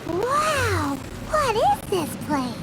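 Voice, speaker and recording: A woman voicing a tiny, childlike fairy companion in a video game, squeaky, excitable and easily scared. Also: drawn-out words, noisy background, heavily acted. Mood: wondering, uneasy. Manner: speaks of herself in third person.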